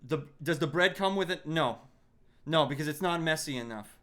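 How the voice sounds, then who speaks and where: A man speaks in a put-on Greek accent, pitching dishes like an earnest restaurant owner. His voice sits a little high for a man. The delivery is improvised comedy patter. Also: lively intonation, normal speed, fairly bright tone. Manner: puts on a slight Greek-tinged work accent, earnest salesman patter.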